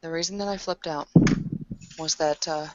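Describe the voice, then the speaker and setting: A woman's voice: deep voice, fairly even intonation, moderate pace, dull audio, natural voice. A woman playing a suspicious, blunt and protective young woman in a tabletop roleplaying game. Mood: stern, upset.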